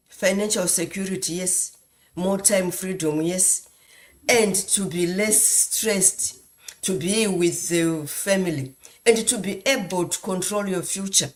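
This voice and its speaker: A woman giving a motivational talk on a live stream, slow and emphatic, repeating phrases like a preacher. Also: fairly low pitch. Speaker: guest speaker.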